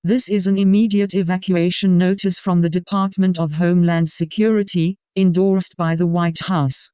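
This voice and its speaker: Man as high-pitched, mellow evacuation announcer. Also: little pitch movement, moderate pace, clear, resonant tone, no echo, close to an everyday voice. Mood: formal, directive. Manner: formal, instructive. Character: formal male homeland security evacuation announcer. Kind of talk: scripted emergency announcement.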